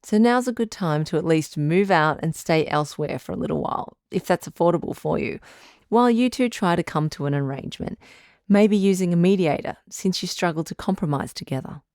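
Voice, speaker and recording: Low-voiced, calm woman radio counsellor. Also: no room sound, studio quality. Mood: reassuring, practical. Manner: measured, tactful, reassuring. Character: calm, patient woman relationship advice radio doctor. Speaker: advice show host. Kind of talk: audio drama phone-in advice.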